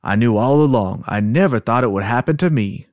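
A shaken, folksy Southern man acted out by a man in an amateur dramatic reading. He is insistent about what he saw, in a medium-pitched voice with a dry sound. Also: animated, a little fast, a little mellow, no echo, lightly acted. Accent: put-on Southern US.

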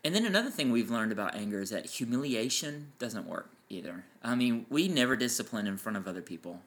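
A man with a loud voice co-hosting a casual parenting podcast, explaining his points with enthusiasm. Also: medium pitch, lively intonation, quick pace. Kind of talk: casual chat. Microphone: farther from mic than co-host.

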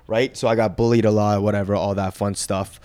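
A man's voice: fairly low pitch, little pitch movement, brisk, a little mellow, clear, resonant tone, no room sound. A young man from Korea, a guest on a casual podcast, telling his life story in a laid-back way with lots of fillers. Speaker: guest, boxing trainer.

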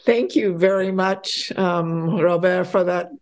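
A middle-aged woman from Poland, a podcast guest chatting casually, laughing often and getting animated when excited. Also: lowish voice, slow. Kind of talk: casual interview chat.

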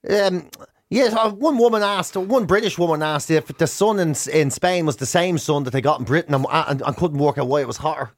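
A man's voice: a little high, fairly quick, dry recording. An Irish man co-hosting a radio show, casually retelling funny anecdotes and acting out complaints in mock outrage. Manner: animated, acts out quoted complaints in mock outrage. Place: radio studio.

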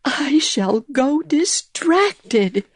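A woman's voice: high-pitched, very animated, drawn-out words, dry recording, studio quality, voice pushed up, heavily acted. A woman voicing a fussy, tidy little female mouse who talks in a prim, flustered way. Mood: prim, fretful.

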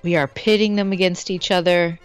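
A female podcast co-host with a somewhat low, smooth and resonant voice. She talks in a playful, teasing, upbeat way. Faint music sits behind her. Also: steady tone, a little fast. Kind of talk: casual chat.

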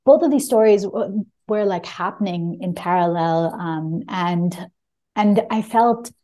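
Mellow, low-voiced woman speaking thoughtfully. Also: measured pace. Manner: thoughtful, hesitant, searching for words. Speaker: debut memoir author, guest. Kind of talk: reflective interview answers, with a short reading at the start.

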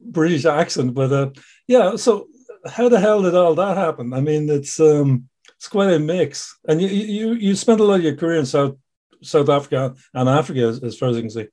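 A man interviewing a guest in a loose, halting way, often restarting his sentences and laughing along. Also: a little high, animated, a little mellow. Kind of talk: casual interview chat.

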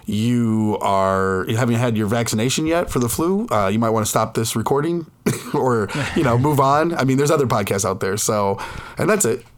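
A man with the flu hosts a casual gear podcast, talking in loose, rambling bursts with frequent laughs. His voice sits at an ordinary middle pitch. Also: normal speed. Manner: rambling, laughing, filler-heavy. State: sick with flu, congested. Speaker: podcast host. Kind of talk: casual chat.

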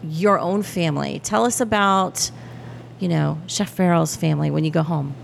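A woman's voice: low-pitched, moderate pace, creaky, a little hiss or hum. A woman hosting a casual interview, encouraging her guest with short replies and frequent laughs. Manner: encouraging, laughs often, brief backchannels. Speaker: interview host.

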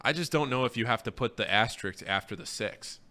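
A man's voice: mid-range voice, fairly quick, bright, forceful tone. A man hosting a radio show in a casual, bantering sports-talk style.

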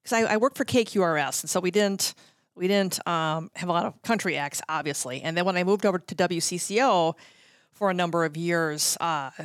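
A woman's voice: fairly low pitch, brisk, clear, resonant tone, no echo, clean studio sound. A middle-aged woman, chatting casually as an interview guest and drifting into asides as she shares anecdotes. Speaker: guest, former radio promotions worker.

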